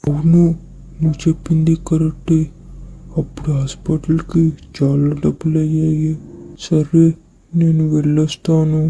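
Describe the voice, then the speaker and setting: A woman voicing a naive, gullible, slow-witted talking watermelon in an animated moral story. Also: low-pitched, gravelly, slight background noise, rough recording. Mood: agreeable, trusting.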